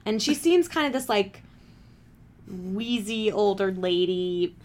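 A woman recounting a story in casual chat, with a relaxed, filler-heavy delivery. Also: medium pitch, slow. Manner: casual, lots of "like". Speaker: guest storyteller.

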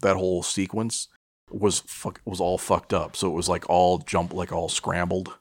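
A middle-aged American man with a low voice, recorded dry and close. He chats casually as a podcast co-host, wandering through his stories with stops, restarts and plenty of swearing. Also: flat delivery, dry recording. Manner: profane, rambling, halting. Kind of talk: casual chat, rambling storytelling.

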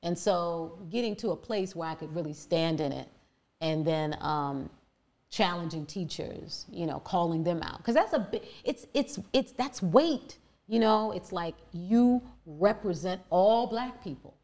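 A woman with a deep voice telling her personal story candidly and emphatically, with many fillers and restarts. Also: lively intonation, measured pace, a little mellow. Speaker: guest.

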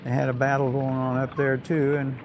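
A man's voice: mid-range voice, completely flat delivery, brisk, background noise. An elderly man, a war veteran, telling his memories in plain, rambling, unscripted oral history. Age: 80s or older. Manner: rambling, plain-spoken storytelling.

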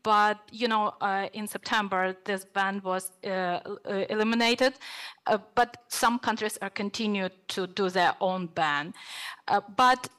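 Woman speaking halting English. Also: mid-range voice, fairly bright tone. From Ukraine. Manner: halting, many hesitations. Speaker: panelist. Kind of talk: policy seminar discussion.

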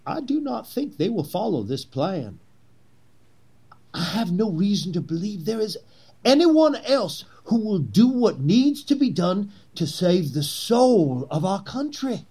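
A man acting as a persuasive, grandiose Confederate conspiracy leader in an 1860s period drama, with theatrical, pleading oratory and emphatic pauses. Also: fairly high pitch, a little mellow, husky, full character voice.

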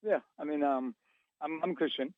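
A man's voice: a little high, normal speed, dry recording. A man from Lebanon with a Lebanese accent, calling in to a talk radio show and searching for his words. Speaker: caller. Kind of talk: phone-in call. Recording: phone line.

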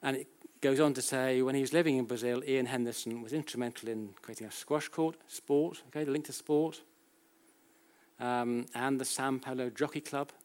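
A man's voice: mid-range voice, fairly even intonation, darkish tone. A British man giving an academic lecture in a conversational, explanatory way, often repeating and correcting himself and posing rhetorical questions. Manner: halting, self-correcting, with frequent repetitions. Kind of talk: public lecture, explanatory and anecdotal.

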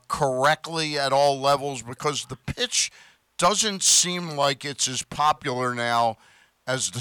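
Bright, clear-voiced male sports host. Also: fairly high pitch, dry recording. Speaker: sports talk show host. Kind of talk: conversational sports interviewing.

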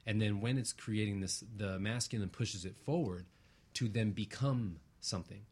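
A man's voice: lowish voice, normal speed, darkish tone, husky. A man speaking as an interview guest in a reflective, earnest way, using abstract spiritual phrasing and often checking for agreement.

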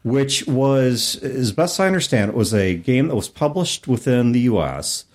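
A man's voice: mid-range voice, big pitch swings, moderate pace. A middle-aged man hosting a podcast, talking casually and explaining things conversationally. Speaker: podcast host.

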